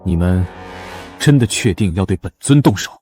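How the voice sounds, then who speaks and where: An actor playing a god disguised as a beggar husband, calm and assured. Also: male voice, deep voice, moderate pace, breathy edge, no echo, studio quality, faint music behind. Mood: mocking. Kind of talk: short-drama acting.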